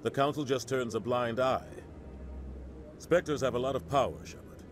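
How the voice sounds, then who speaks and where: An actor voicing a seasoned, pragmatic military officer in a video game, explaining with measured authority. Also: male voice, medium pitch, music in the background, close to an everyday voice. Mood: grave, measured. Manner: matter-of-fact, authoritative explaining.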